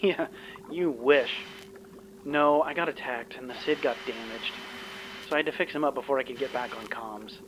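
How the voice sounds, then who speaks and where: A man's voice: normal speed, lightly acted. A man playing a dry, practical starship engineer in an audio drama, wry and conversational. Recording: faint ship rumble behind.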